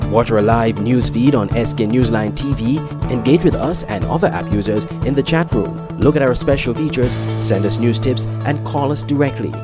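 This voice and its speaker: Promo voice-over, male, medium pitch, scripted sales read with loud guitar music underneath. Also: moderate pace, few pauses. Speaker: promo announcer.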